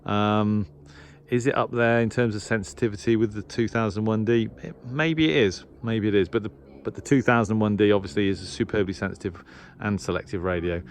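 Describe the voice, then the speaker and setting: Low-pitched Englishman chatting about gear. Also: fairly even intonation, brisk, slight background noise. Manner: hesitant, thinking aloud. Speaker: shortwave radio hobbyist presenter. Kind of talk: casual unscripted equipment review chat.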